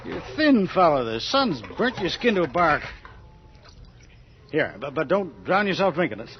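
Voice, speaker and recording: A lonely, hospitable old farmer in a vintage radio drama. The voice is plain-spoken and folksy, with lively rises and falls. The sound is muffled and lo-fi, with faint noise behind. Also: male voice, a little high, normal speed. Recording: dull lo-fi vintage radio recording.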